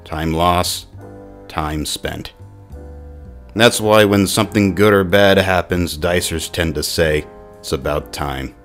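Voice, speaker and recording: A man playing a huge modified war veteran cop in a noir audio drama, gruff, weary and grumbling. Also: deep voice, slow pace, fairly bright tone, soft background music, exaggerated voice. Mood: brooding, hard-boiled.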